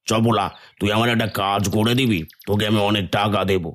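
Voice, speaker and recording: A man's voice: fairly low pitch, level tone, a little fast, a bit bright, lightly acted. A man voicing a greedy, vengeful village moneylender in a cartoon folk tale. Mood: scheming, resentful. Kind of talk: Bengali cartoon fairy-tale voice acting. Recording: music bed under the voice.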